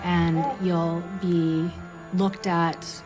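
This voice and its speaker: A woman artist speaks in an interview with a low, flat voice and a hesitant way of talking. Clear music plays beneath her. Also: very slow. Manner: hesitant, with restarts. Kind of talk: casual interview.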